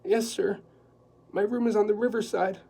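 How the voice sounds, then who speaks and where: A man's voice: fairly even intonation, normal speed, creaky, dark tone, slightly rough, full character voice. A man putting on a whimpering nervous housekeeper woman. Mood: anxious, fretful. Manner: whimpering, high and fretful.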